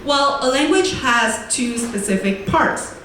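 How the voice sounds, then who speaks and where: A woman's voice: high voice, animated, bright, forceful tone, far from the mic, soft background music. Young woman presenting in a very echoey room. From Vietnam. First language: Vietnamese. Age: young adult. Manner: clear, deliberate, slows to stress key words. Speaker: student presenter. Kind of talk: prepared lecture presentation. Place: large echoey lecture room.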